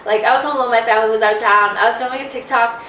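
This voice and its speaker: A woman's voice: fairly high pitch, fairly even intonation, very fast, sharp tone, slight background noise. A woman in her twenties, a podcast co-host, telling a personal story in casual chat with lots of "like" and candid detail. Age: 20s. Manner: candid rambling storytelling, heavy on "like". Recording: roomy, distant mic.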